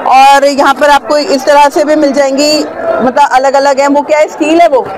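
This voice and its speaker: High-voiced woman touring a crowded market. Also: little pitch movement, moderate pace. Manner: chatty, asks vendors prices and repeats them to viewers. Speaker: vlogger touring a street market. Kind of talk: casual market tour narration. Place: busy outdoor street market. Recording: loud crowd noise of a busy market.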